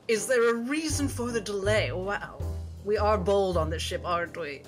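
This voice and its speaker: A woman's voice: mid-range voice, normal speed, in a large hall, faint music behind, pitched above the performer's own voice, lightly acted. A woman voicing a shrewd, composed lady in a tabletop roleplay, poised and coaxing. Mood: haughty. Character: shrewd, composed lady passenger with psychic powers.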